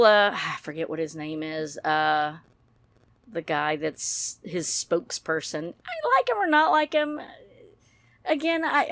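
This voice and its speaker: A woman's voice: mid-range voice, fairly bright tone, dry recording. Rambling woman, close dry sound. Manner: blunt, rambling, mild swearing. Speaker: true-crime YouTube commentator. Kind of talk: casual opinionated commentary.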